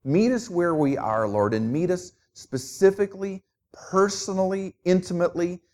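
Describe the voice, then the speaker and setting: A man's voice: high-pitched, normal speed, a little mellow. A middle-aged man from Minnesota with a New Jersey accent, preaching a sermon as a guest pastor in an easygoing, conversational way with self-deprecating humor.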